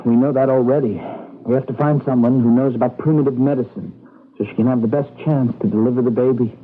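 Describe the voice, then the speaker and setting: A man's voice: medium pitch, steady tone, quick pace, natural voice. An actor playing an earnest, trusting young husband and idealistic rebel in a radio drama, anxious and coaxing as he talks. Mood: matter-of-fact. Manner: anxious, coaxing, seeks agreement. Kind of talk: old-time radio drama acting. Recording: dull, band-limited 1980s radio recording.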